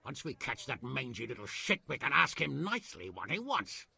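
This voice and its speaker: An actor playing a blunt, sardonic medieval lord in a video game, gruff and hearty. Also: male voice, medium pitch, very animated, moderate pace, sharp tone, dry recording, heavily acted. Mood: blunt, commanding. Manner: gruff, sarcastic. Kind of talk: video game cutscene acting.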